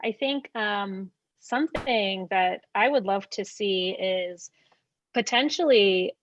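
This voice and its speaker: A woman's voice: mid-range voice, slow, slight background noise. A woman on a video call suggesting plans for the group, friendly and hesitant, with many "um"s. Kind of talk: casual discussion on a video call. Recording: muffled dry call audio.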